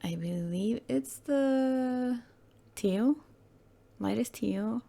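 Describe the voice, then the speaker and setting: A woman hosting her gaming channel in casual, rambling commentary, her throat hoarse and croaky today, often hesitating and correcting herself. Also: a little high, drawn-out words, dark tone.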